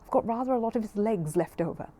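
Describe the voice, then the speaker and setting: A woman's voice: a little high, expressive, fast talker, warm tone, voice pushed up. A woman voicing a polite, hesitant young male bear who is earnest and anxious, for a storybook. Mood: apologetic.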